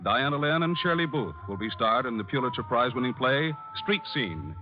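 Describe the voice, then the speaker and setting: Animated American old-time radio announcer man. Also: medium pitch, fairly quick. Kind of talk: 1940s-50s radio announcing, scripted credits and promotion. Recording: muffled old radio broadcast, loud music. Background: loud music.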